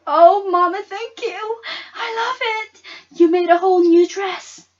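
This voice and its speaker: A high-pitched, excitable schoolgirl from a storybook, acted out by a woman. She exclaims with open emotion and pushes her words out forcefully. The sound is a little dull and lo-fi. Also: unhurried. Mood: overjoyed. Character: excitable, emotional sixth-grade girl pleading with her mother.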